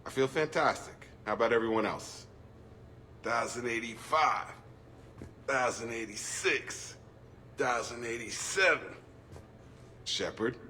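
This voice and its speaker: An actor playing a hearty, energetic soldier in a video game, upbeat and vigorous. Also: male voice, medium pitch, measured pace, pauses often, slight background noise. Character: hearty, energetic male fitness-mad soldier.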